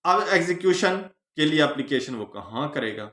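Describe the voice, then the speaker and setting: A man with a Pakistani accent giving an explanatory law lecture, teaching phrase by phrase with pauses. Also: on the high side, lively intonation, bright, forceful tone. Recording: echoey room.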